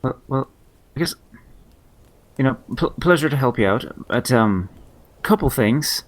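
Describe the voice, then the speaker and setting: A man speaking as his character in a tabletop game, halting and casual. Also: mid-range voice, animated. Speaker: player.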